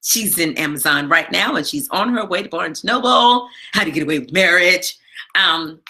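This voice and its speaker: A woman hosting a live stream from home, chatting casually with lots of fillers and a big, loud voice. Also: lowish voice, animated, moderate pace. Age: adult with a daughter. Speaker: live stream show host. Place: home, not her usual studio.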